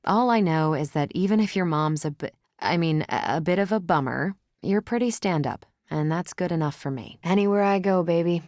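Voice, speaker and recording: A woman's voice: fairly low pitch, moderate pace, hardly any pauses, a little mellow, dry recording, studio quality, close to an everyday voice. An American woman voicing a confident, wry young superhero leader in a video game, with breezy, sardonic delivery. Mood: wry, casual.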